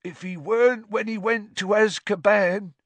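A dour male bus driver played by a man who drawls slowly and darkly and gives grunted answers. He sits higher than the narrator's own voice. Close, dry recording. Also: fairly even intonation, no echo. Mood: gloomy. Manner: slow, grunting, dark. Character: gloomy, slow-spoken male bus driver.

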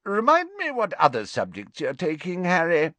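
A man voicing a tipsy, jovial older male professor who gushes and booms his words. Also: huge pitch swings, normal speed, dry recording, full character voice. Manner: hearty, gushing, loud exclamations.

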